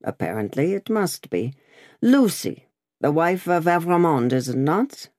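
A woman's voice: low-pitched, big pitch swings, a little slow, no room sound, studio quality. A woman voicing a brusque male revolutionary gate officer who barks questions in a sneering way. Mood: barking. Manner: loud, barking, sneering.

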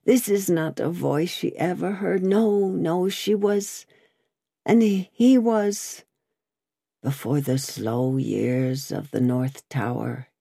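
Woman as old male prisoner, storybook drama, broken, haunted, halting delivery, dark soft tone, close dry sound. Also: mid-range voice, vocal fry, husky, no room sound, exaggerated voice. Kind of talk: dramatic audiobook character reading.

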